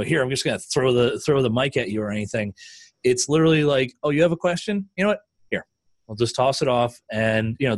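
A man hosts a relaxed discussion with a close, dry sound and a middling pitch. He talks conversationally and animatedly, slipping into little acted-out quotes as he explains things. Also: no room sound. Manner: animated storyteller, acting out quotes. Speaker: podcast host leading a panel discussion. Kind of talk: casual conversational talk.

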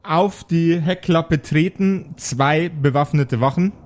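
A man's voice: fairly high pitch, slow, darkish tone. A man narrating an improvised tabletop game as game master.

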